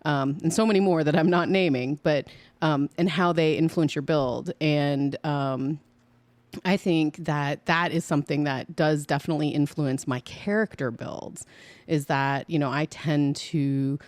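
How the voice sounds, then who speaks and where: A woman co-host speaks in a casual, reflective way. She feels her way through each thought with pauses. The recording is close and dry. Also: low-pitched, fairly even intonation, darkish tone, dry recording. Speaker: podcast co-host.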